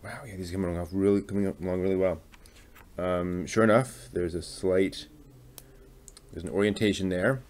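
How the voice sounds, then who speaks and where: A man casually talking through a hands-on hobby build, halting and muttering to himself as he works. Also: low voice, steady tone, a little mellow. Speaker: hobby channel host building a model kit on stream.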